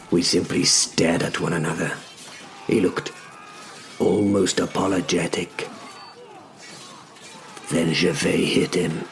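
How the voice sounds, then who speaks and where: A man narrating a scripted first-person drama in deliberate short phrases with dramatic pauses. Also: low voice, vocal fry, slight background noise. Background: music.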